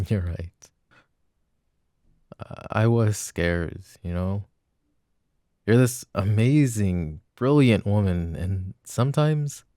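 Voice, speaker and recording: Low, gravelly jealous boyfriend, acted by a man. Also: dry recording, studio quality. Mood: vulnerable, insecure. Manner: hesitant, earnest, soft-spoken pleading. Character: jealous, insecure but devoted young boyfriend. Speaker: roleplay audio voice actor. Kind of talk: intimate boyfriend roleplay ASMR, acted monologue.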